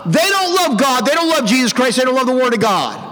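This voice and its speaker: A man's voice: very high-pitched, big pitch swings, brisk, sharp tone. A man preaching a fiery, old-fashioned sermon in a stern, emphatic way, repeating phrases for effect. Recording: hall reverb on the voice.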